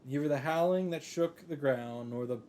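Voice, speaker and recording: A man's voice: a little high, normal speed, darkish tone. Man reading a story dramatically over noise. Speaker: video creator reading a visual novel aloud. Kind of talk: dramatic read-aloud narration with off-the-cuff reactions.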